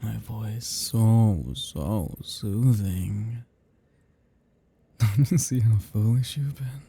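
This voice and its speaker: A man playing a seductive, menacing and possessive vampire in an intimate roleplay ASMR monologue, speaking in soft murmurs with a slow, teasing manner. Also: steady tone. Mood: hypnotic, commanding. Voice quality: low, soft, breathy murmurs dropping into whispers. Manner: teasing, slow, lingering, chuckling between phrases.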